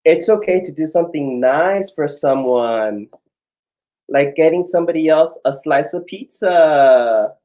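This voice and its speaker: A drag queen reads aloud to children with theatrical flair. The delivery is very unhurried and encouraging, stretching words out, with playful asides in a medium-pitched voice. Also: lively intonation, warm tone. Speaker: drag queen story time co-host.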